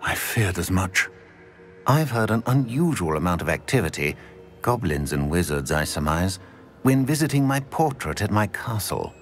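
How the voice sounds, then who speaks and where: An actor playing a grave, formal, commanding old wizard in a video game. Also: male voice, low voice, big pitch swings, fairly bright tone, faint music behind. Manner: formal, measured, solemn. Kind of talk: dramatic video game cutscene acting.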